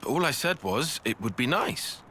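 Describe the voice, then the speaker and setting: A wry young British soldier. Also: male voice, medium pitch, big pitch swings, moderate pace, pushed and bright, slight background noise. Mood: wry, reasoning. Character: dry, sceptical, bookish young man soldier. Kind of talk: scripted video game voice acting.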